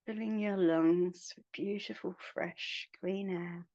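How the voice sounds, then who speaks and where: A woman guiding a meditation in a soothing, hushed manner, leaving long pauses between phrases. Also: fairly low pitch, steady tone, no room sound, highs cut off. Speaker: meditation guide. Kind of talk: guided meditation.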